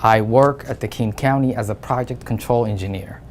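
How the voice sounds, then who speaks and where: A man from Somalia who is running for city council, giving an earnest, steady campaign statement. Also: mid-range voice, normal speed, a little hiss or hum.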